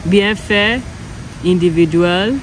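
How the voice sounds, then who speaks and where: A woman's voice: on the low side, low-quality recording. A young woman from Ghana, an English speaker practicing French and speaking hesitantly, often correcting herself. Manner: hesitant, halting, self-correcting. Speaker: French learner. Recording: steady background hum.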